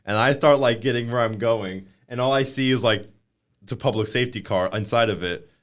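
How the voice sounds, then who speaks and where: A young man co-hosting a podcast, telling animated stories about college in casual talk and laughing at his own tales. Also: medium pitch, fairly quick. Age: young adult. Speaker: podcast co-host. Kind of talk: casual chat, storytelling.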